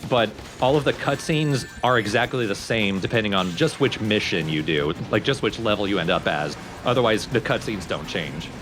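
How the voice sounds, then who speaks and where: A man's voice: lowish voice, lively intonation, moderate pace, hardly any pauses, over music. A man hosting a let's play, explaining the game in a casual, wry way.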